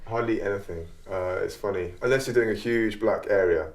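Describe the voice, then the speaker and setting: A man's voice: fairly low pitch, fairly quick. A man, an artist, talking casually about himself in an interview. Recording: echoey room.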